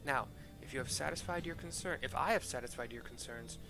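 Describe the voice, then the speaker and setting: Man reading for a woman commander, fantasy game, formal, measured, creaky voice, music behind. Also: mid-range voice, natural voice. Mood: formal, reassuring.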